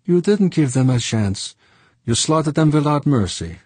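Excitable man, pitched well up, put on by a male narrator, dry close sound. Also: normal speed, husky, dry recording. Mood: reproachful. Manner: raised, exclaiming. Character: excitable older man, friend of the hero.

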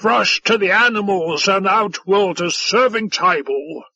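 Bellowing, bullying alien officer. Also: male voice, sits high, slow, creaky, slightly rough, no room sound, highs cut off, pitched above the performer's own voice, heavily acted. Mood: imperious roaring. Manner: bellowing, shouting, imperious. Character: huge bellowing male tentacled alien officer, bullying and boisterous. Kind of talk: audiobook character voice.

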